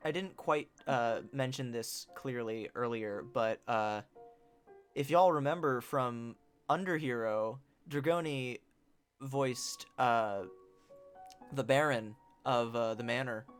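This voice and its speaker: A man's voice: medium pitch, slow. A man hosting a game stream, chatting casually and reading out narration, with music behind him.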